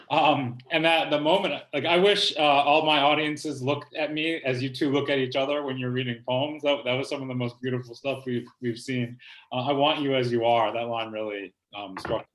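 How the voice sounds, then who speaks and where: A man hosting a virtual poetry reading from home, talking in a relaxed, halting way with many ums, and reading a poem aloud. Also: mid-range voice, poor audio. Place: home, video call. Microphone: laptop mic on video call.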